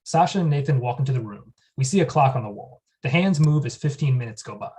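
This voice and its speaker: A man's voice: medium pitch, animated, quick pace, darkish tone. A man reading the stage directions at a table read in a plain, matter-of-fact way.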